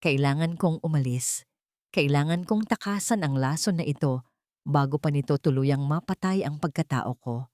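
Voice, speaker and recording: A woman's voice: sits low, moderate pace, warm tone, dry recording, studio quality. A woman reading a scripted, dramatic first-person story as an audio drama narrator.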